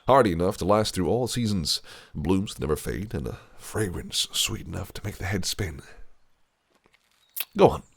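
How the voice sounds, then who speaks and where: A man's voice: low-pitched, expressive, normal speed, vocal fry, breathy edge, full character voice. A man voicing a possessive, cunning fae prince in an audio roleplay, speaking in a silky, teasing, menacing way. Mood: charming. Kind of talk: listener-directed audio roleplay.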